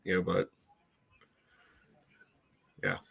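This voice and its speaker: A man's voice: drawn-out words, fairly bright tone. An American man co-hosting a soccer podcast, analyzing play casually and thinking aloud with a lot of "you know".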